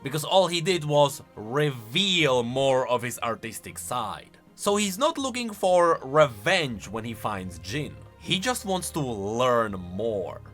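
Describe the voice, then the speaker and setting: Guy doing casual game lore reactions, bright forceful voice, really animated and opinionated, soft music behind. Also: medium pitch, slow, hardly any pauses. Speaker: gaming lore commentator.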